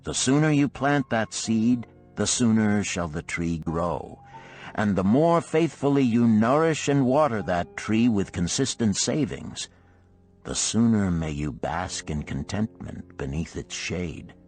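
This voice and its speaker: A man's voice: on the low side, lively intonation, a little slow, a little mellow, soft background music. A man voicing a stern, blunt old moneylender mentor who lectures and scolds emphatically in a storybook parable. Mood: solemn, instructive. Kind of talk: audiobook parable character dialogue.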